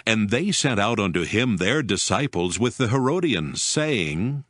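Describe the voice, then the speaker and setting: Animated male scripture narrator. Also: fairly low pitch, a little slow, no echo, studio quality.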